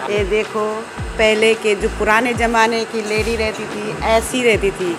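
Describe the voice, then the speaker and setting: A woman's voice: a little high, level tone, a little slow. A middle-aged woman from Garhwal, fondly pointing out and explaining old objects in a small cafe. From Garhwal, Uttarakhand. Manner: fond, explanatory, lists objects. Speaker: family member, mother. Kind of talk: casual show-and-tell. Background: music.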